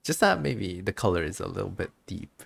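Low-voiced man, musing game streamer. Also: very animated, a little fast, creaky, husky. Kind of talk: casual game commentary with dialogue read aloud.

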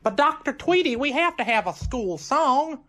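A woman's voice: sits high, animated, moderate pace, bright, forceful tone. A woman playing a slangy, matter-of-fact young college musician in an old-time style radio comedy. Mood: blunt, resigned.

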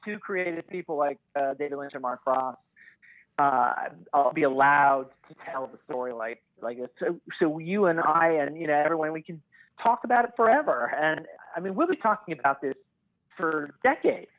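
A man speaking as a podcast guest in casual analysis, hesitantly thinking out loud as he goes. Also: fairly high pitch, animated, normal speed, no room sound, narrow, tinny audio.

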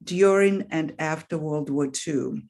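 A woman from Russia, speaking English as a second language with a Russian accent, talking as an author guest in a relaxed interview and often pausing with "um". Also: sits low. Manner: thoughtful, pauses with frequent "um". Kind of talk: casual interview conversation.

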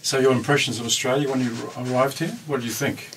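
A man's voice: mid-range voice, fairly even intonation, fast, fairly bright tone. A man conducting an interview in a small radio studio, asking short, prepared questions. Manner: short, prepared questions with brief listening murmurs. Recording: distant from the mic, faint hiss.

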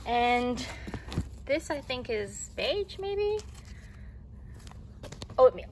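A woman vlogger in a casual voice-over, showing her clothing purchases, talking slowly with many ums and restarts. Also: high voice, very animated, slight background noise. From Australia.

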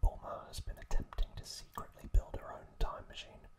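A man whispering breathily and close to the microphone as an ASMR host, recounting in a hushed, halting way. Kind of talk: whispered ASMR recap narration.

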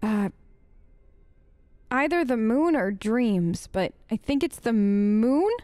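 Slow-talking, higher-pitched woman gaming, wry and hesitant. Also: expressive, warm tone, dry recording, clean studio sound. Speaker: let's play host. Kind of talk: role-play gaming commentary, casual.

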